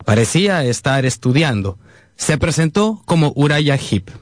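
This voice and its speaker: A man's voice: fairly high pitch, sing-song, unhurried, no echo, clean studio sound. An actor playing an earnest, sentimental grown man narrating his boyhood in a radio drama. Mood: reflective. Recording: music behind the voice.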